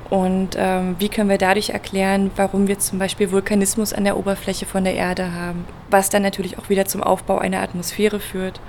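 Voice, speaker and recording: A woman from Berlin in her thirties, explaining planetary science patiently in a relaxed interview. Her voice sits at a middling pitch. A faint background noise runs under her speech. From Berlin, Germany. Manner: explains patiently with everyday examples, some fillers. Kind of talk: conversational explanation. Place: event venue.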